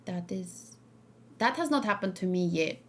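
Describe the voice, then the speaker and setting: A woman's voice: lowish voice, animated, measured pace. A woman hosting a livestream, talking casually with her viewers in an emphatic, questioning way, repeating key words for stress. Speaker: streamer hosting a reaction and discussion.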